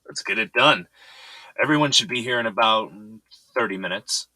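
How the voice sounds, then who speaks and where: A man's voice: fairly low pitch, normal speed, sharp tone, no echo, low-quality recording. An actor playing a composed, serious, fatherly boss of an architecture firm in an audio drama. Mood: pleased, confident.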